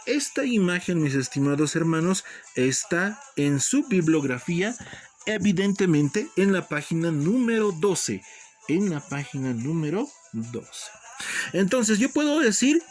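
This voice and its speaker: A man teaching an Aymara lesson, repeating each phrase slowly and calling his students brothers and sisters. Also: high voice, big pitch swings, soft background music. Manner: slow, deliberate repetition of phrases. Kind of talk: online language lesson.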